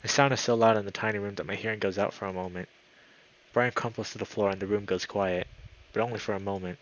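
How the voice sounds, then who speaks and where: A man streaming a let's play, reading a visual novel aloud in a dramatic, excitable way, sometimes stumbling over words. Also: lowish voice, fast, slight background noise, rough recording. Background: background music.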